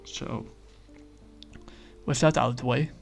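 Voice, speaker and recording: A man casually reviewing a comic book, talking in a halting way with many fillers and restarts. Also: medium pitch, fairly even intonation, creaky, faint music behind.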